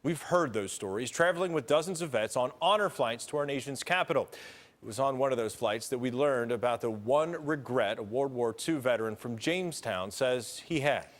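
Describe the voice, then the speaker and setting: A man anchoring a television news special, reading scripted lines in a measured, formal way. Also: medium pitch, animated.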